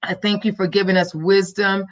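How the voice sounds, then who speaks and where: Woman, podcast host, minister, mid-range pitch, emphatic, preachy, motivational, video call. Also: flat delivery, moderate pace. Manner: preachy and emphatic, faith-filled motivational cadence. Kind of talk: live-streamed motivational talk.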